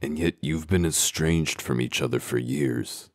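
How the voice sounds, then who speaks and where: A man playing a gruff, cynical, humorless wolf detective in a comic dub, with a deadpan, blunt growl. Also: unhurried, no echo, studio quality. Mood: dry, blunt. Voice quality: very deep, gravelly growl. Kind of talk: dramatic comic dub acting.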